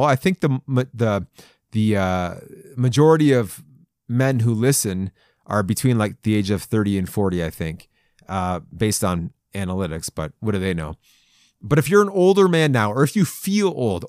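A man's voice: mid-range voice, no echo, studio quality. A man in his forties giving a folksy, encouraging sermon to men, with playful asides and chuckles. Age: 40s. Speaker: men's devotional speaker. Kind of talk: conversational podcast sermon.

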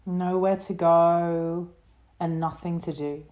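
A woman leading a guided relaxation meditation as a yoga teacher, speaking in a soothing, gentle way. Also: on the low side, steady tone. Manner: soothing, gentle, unhurried instruction.

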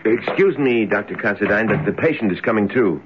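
An actor playing a wry young hospital intern in an old radio drama, with a jaunty, gossipy manner. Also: male voice, on the low side, lively intonation, a little fast, background noise, lightly acted. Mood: businesslike. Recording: dull old radio broadcast recording.

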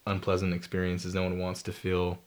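A man's voice: deep voice, completely flat delivery, brisk, a little mellow. A young man co-hosting a casual talk podcast, joking dryly while explaining therapy terms. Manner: joking, dry humor. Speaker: podcast co-host, therapy student. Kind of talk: casual chat.

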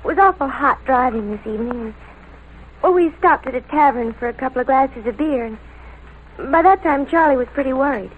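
Girlish, unbothered teen killer, high voice, vintage radio drama with tinny, slightly noisy sound. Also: expressive, brisk. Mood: eerily calm. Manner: matter-of-fact, girlish, unbothered. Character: sweet-faced, eerily calm, childlike teenage girl killer. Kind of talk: old-time radio drama acting.

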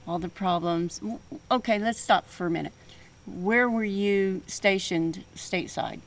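A woman hosting a podcast and interviewing a guest in a relaxed, conversational way. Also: mid-range voice, unhurried. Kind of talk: casual interview.

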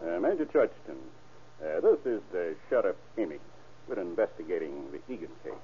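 Deep voiced old radio police chief, practical n steady, lively delivery, dull lo-fi sound. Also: male voice, normal speed. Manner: plain-spoken, practical. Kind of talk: old-time radio crime drama acting.

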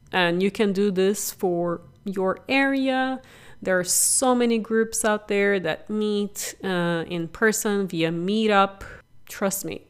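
Woman podcast host, kinda raspy from being sick, lowish smooth voice, chatty and upbeat. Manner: chatty, upbeat, informal asides. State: raspy from illness. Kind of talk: solo podcast explainer.

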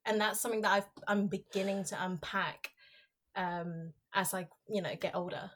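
A woman's voice: mid-range voice, steady tone, moderate pace, fairly bright tone. A young woman from Hong Kong, a native Cantonese speaker, answering a podcast host's questions candidly and reflectively, with many fillers and restarts. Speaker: podcast guest, researcher. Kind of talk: candid conversational interview.

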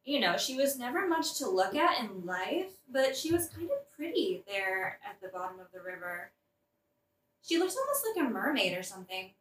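A woman's voice: mid-range voice, very animated, normal speed, fairly bright tone, echoey room, distant mic, a little hiss or hum, rough recording. A woman playing a flippant, tactless queen in a modern satirical audio play, casual and matter-of-fact about grim things.

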